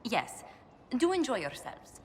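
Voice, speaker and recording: An actress voicing a polite, flustered court diplomat in a video game, prim and courteous. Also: female voice, on the high side, wildly swooping pitch, slow pace, noisy background, full character voice. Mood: embarrassed.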